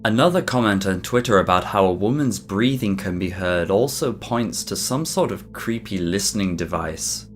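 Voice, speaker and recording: A man reading a scripted mystery documentary narration for an online video in a measured, explanatory way. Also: fairly low pitch. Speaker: YouTube narrator. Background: background music.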